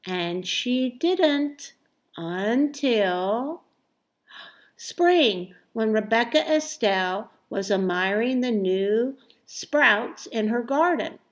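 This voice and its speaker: A woman reading a children's story aloud expressively, with deliberate phrasing and emphatic stressed words. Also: fairly high pitch, animated. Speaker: storybook reader.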